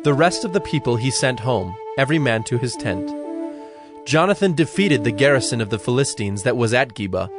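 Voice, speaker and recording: Casting: male Bible narrator, medium pitch, solemn measured read, prominent music bed. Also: animated. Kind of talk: scripted scripture narration.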